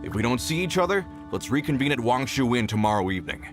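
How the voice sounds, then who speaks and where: Brisk, dutiful search leader acted by a man. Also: mid-range voice, very animated, soft background music, close to an everyday voice. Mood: resolute. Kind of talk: English video game voice acting.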